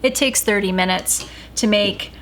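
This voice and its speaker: A woman chatting casually as she cooks in her home kitchen for a vlog, relaxed, with a sore throat from talking all day. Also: medium pitch, unhurried, slight background noise. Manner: chatty, relaxed, with filler ums. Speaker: vlogger cooking at home. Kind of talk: casual cooking vlog chat.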